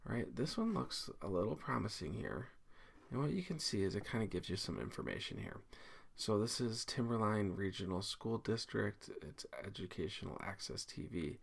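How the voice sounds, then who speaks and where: Man, deep husky voice, informal tutorial, step-by-step explaining, thinking aloud, teacher at a computer. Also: very animated, moderate pace. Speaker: teacher giving a software tutorial. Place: indoors at a computer, screen recording.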